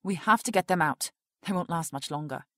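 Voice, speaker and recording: An actress playing a prim, rule-bound research project director in an audio drama, formal and officious. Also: female voice, lowish voice, a little fast, heavy background noise, lightly acted. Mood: urgent, resolute.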